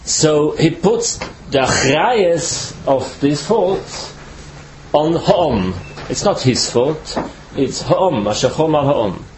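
A man from Switzerland, not a native English speaker, giving a Torah lecture as a rabbi in halting, careful English. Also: fairly high pitch, lively intonation, darkish tone, slight background noise, low-quality recording.

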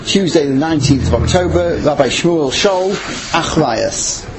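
A man reads a short introduction before a recorded lecture. His voice sits a little high, and clear background noise runs through the recording. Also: expressive, measured pace. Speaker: announcer.

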